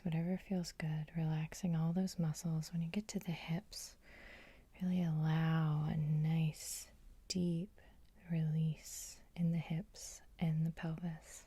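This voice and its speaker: A woman hypnotherapist guiding sleep hypnosis in a soothing, unhurried, breathy ASMR whisper, close to the microphone. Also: deep voice, warm tone, no echo.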